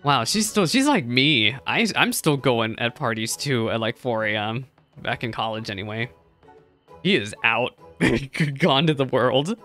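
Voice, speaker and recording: Man, game streamer, medium pitch, informal, reactive commentary, faint music behind. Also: expressive, measured pace, pushed and bright. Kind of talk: casual gameplay commentary, reading dialogue aloud.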